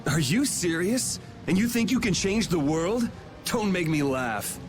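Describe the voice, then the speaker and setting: A defiant young vigilante hero in a video game. His fairly high voice moves freely, irreverent and blunt, with light music underneath. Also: sing-song, measured pace. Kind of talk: video game cutscene acting.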